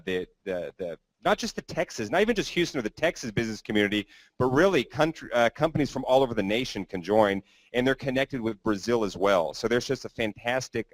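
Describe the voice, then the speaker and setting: A man's voice: mid-range voice, dry recording, low-quality recording. A man hosting a business conference, introducing speakers in a loose, rambling way with some fillers. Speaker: event host.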